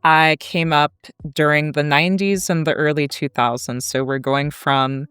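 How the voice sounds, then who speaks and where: An American woman in her thirties telling a story as an academic guest in an articulate, deliberate, conversational way. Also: low-pitched, steady tone, a bit bright, clean tone, no echo. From Kansas, United States. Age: 30s.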